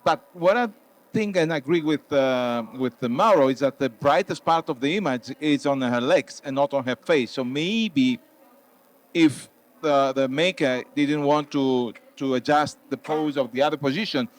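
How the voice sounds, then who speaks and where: A man in his fifties with a Greek accent, giving casual critique in slightly halting non-native English. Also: mid-range voice. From Thessaloniki, Greece. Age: 50s. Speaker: wedding photographer and competition judge. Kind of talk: casual spoken photo critique. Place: booth at a trade show.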